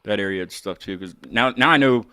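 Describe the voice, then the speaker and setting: A man's voice: lowish voice, brisk, bright, forceful tone, clean tone, dry recording, studio quality. An American man hosting a casual music chat, rambling informally as he talks. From United States. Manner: rambling, informal, mild swearing. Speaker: interviewer, music show host.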